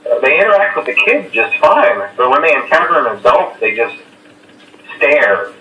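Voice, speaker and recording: Hesitant phone guy, fairly high voice, rambling with fillers, muffled roomy phone message. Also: very animated, moderate pace, distant mic, background noise. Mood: uneasy. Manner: casual, rambling, reassuring. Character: nervous male night-guard phone caller. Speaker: phone caller. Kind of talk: recorded game voice lines.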